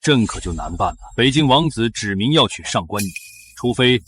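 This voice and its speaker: An actor playing a shrewd, magnanimous emperor in a historical drama, speaking in a stately, measured way. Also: male voice, mid-range voice, animated, fairly bright tone, slight background noise, lightly acted. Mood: stately, sly. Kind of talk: historical short-drama acting.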